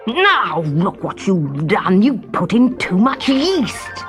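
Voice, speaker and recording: A woman's voice: medium pitch, sing-song, takes it slowly, soft background music. A woman voicing an impatient, greedy fat king in a children's storybook, in an imperious, exclamatory way. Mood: cross, bossy. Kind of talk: storybook character voice.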